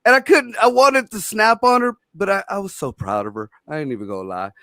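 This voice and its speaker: A man's voice: sits high, expressive, brisk, fairly bright tone, no echo, clean studio sound. A man telling stories in casual chat, blunt and full of profanity.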